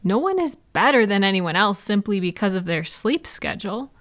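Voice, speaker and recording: A woman plays an affectionate girlfriend in an intimate roleplay audio. Her voice sits at a medium pitch for a woman. She chats playfully and reassures her partner with gentle, caring attention, as if in a private one-sided conversation. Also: sing-song, moderate pace. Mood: protective, concerned. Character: caring, gentle, chatty girlfriend comforting a night-owl partner.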